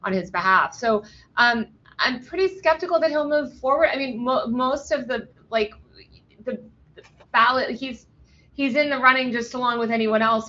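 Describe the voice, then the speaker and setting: Woman journalist guest, bright voice, loose chatty delivery, slightly dull remote audio. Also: a little high, a little hiss or hum. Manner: fast, loose speech with many ums, likes and restarts; blunt opinions. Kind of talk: remote interview.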